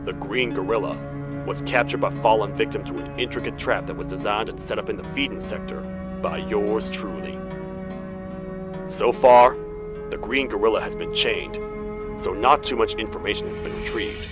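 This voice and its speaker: Casting: male actor as weary game toad lawman, low-voiced, grave and formal, music underneath. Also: moderate pace, lightly acted. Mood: solemn, matter-of-fact. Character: stern, weary male cartoon toad sheriff. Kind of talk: video game character acting, log narration.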